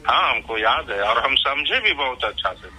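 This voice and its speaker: Sharp, bright-voiced Bihari man on the phone. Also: medium pitch, lively intonation, moderate pace, slightly rough. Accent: Bihari Indian. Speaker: company associate on a call. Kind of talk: informal phone conversation. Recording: sharp, radio-like telephone line.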